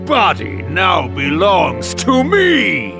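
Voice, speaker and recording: A man voicing a sly, mocking summoned spirit with theatrical, drawn-out villainy in a video game. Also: sits high, huge pitch swings, vocal fry, exaggerated voice. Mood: gloating menace. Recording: music behind.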